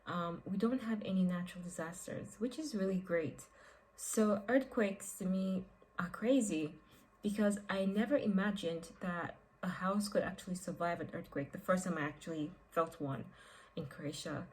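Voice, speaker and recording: A woman's voice: lowish voice, normal speed, rough recording. Chatty young woman from Nigeria. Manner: chatty and expressive, with frequent "like" and "um" fillers. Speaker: vlogger. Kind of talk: casual vlog talk to camera.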